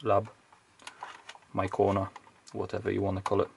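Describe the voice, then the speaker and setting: A man's voice: low voice, monotone, normal speed, gravelly. A man, probably middle-aged, talking casually and hesitantly, rambling as he thinks aloud through an unscripted hands-on demonstration. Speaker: video creator, electronics hobbyist. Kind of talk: casual unscripted teardown narration. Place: indoors at a home workbench. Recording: faint background noise with clicks.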